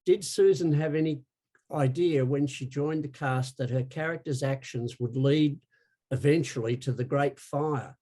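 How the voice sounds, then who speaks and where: Mellow-voiced man, co-host. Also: on the high side, unhurried. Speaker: co-host, interviewer. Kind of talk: casual interview, reading out listener questions.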